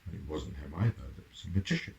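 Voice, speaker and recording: A man with a gravelly voice giving a casual, halting, musing reaction and review as host. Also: extremely low pitch, lively intonation, a little mellow, muffled recording, a little hiss or hum.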